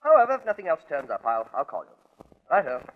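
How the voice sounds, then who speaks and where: An eccentric British gentleman in a 1940s radio drama. The voice is high for a man and swoops freely through clubby, fussily polite phrases. The old recording sounds muffled. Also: sing-song, fairly quick. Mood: affable, brisk. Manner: posh old-fashioned clubman talk, fussily polite, hesitant. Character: eccentric, chatty, homesick English gentleman, depressed with Americans.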